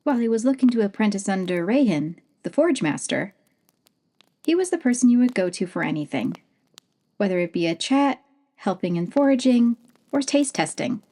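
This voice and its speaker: A woman narrating a fantasy storybook at an easy, gentle pace. Her voice sits in an ordinary middle pitch. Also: very animated, moderate pace. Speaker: audiobook narrator.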